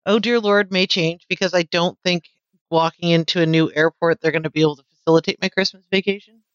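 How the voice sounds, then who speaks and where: A woman's voice: on the low side, steady tone, quick pace, clean tone, lo-fi sound. A woman talking in casual, informal conversation. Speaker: airport worker. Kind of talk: casual chat.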